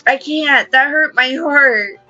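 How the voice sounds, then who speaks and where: A woman's voice: high-pitched, slow pace, sharp tone, lo-fi sound, faint music behind. A woman hosting a gaming channel, reading a visual novel aloud and adding emotional commentary. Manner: emotional, exclaiming reactions.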